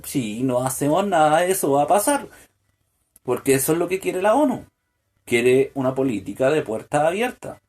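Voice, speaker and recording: A man's voice: a little high, big pitch swings, moderate pace, a little mellow. A man delivering a solo political podcast monologue, full of hesitant fillers and restarts, building to pointed rhetorical questions. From Chile. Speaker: podcast host.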